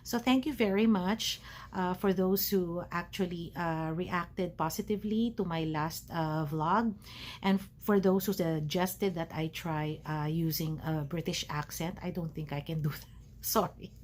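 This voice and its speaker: Filipino woman vlogging from home, low voice. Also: a little slow, a little mellow, slight background noise. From Philippines. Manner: hesitant, many fillers. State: recovering from asthma, feeling cold. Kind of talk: casual talk to camera. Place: at home, wrapped in a blanket.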